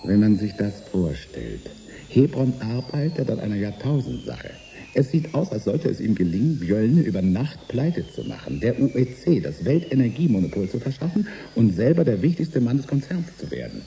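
A man playing an embittered middle-aged inventor in a German science fiction radio drama, speaking in a deliberate, wry, needling way. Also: mid-range voice, big pitch swings, dark tone, a little hiss or hum. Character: embittered middle-aged male inventor turned saboteur, sardonic and resigned.

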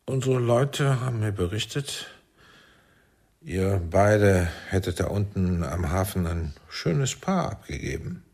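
An actor playing a commanding, sardonic older intelligence chief in a radio drama, probing and deliberate. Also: male voice, on the low side, lively intonation, full character voice. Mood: paternal, sly. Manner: deliberate, probing, swinging from soft to barking. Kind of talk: German radio drama acting.

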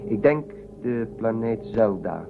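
Low-voiced, pragmatic spaceman. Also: lively intonation, takes it slowly, phone-quality sound, heavily acted. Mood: matter-of-fact. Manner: matter-of-fact, short statements. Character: pragmatic, stoic male space castaway, reasoning aloud. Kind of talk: radio play acting. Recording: phone-thin radio drama audio, clear noise.

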